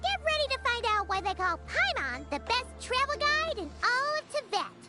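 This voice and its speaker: A woman voicing a tiny, excitable childlike fairy travel guide in a video game, perky and boastful. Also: very high-pitched, moderate pace. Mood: eager, proud. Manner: perky, boastful, refers to self in third person. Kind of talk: video game cutscene acting. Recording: music behind the voice. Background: background music.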